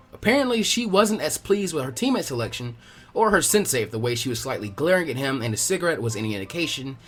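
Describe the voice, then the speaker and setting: A man narrates a story at a brisk clip, in a fairly high, animated voice. His tone is somewhat husky, and music plays behind the reading. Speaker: fan fiction reader.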